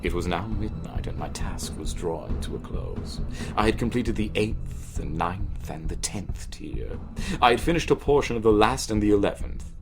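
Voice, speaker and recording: A man giving a theatrical, emphatic literary narration of a Gothic horror story, with deliberate pauses. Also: lowish voice, animated. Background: music.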